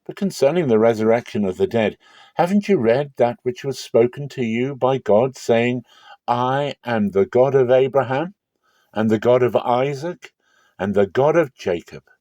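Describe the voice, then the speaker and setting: A man's voice: medium pitch, lively intonation, normal speed, warm tone, no echo, voice pushed up, natural voice. A man voicing a firm, authoritative teacher in a dramatic scripture reading, emphatic and declarative. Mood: authoritative teaching.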